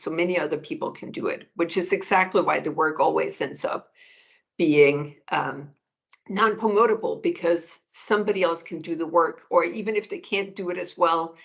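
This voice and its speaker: A middle-aged woman from Denmark, a professor giving measured answers in an interview, often restarting phrases as she thinks. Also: fairly low pitch, vocal fry, highs cut off. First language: Danish. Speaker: economics professor, guest.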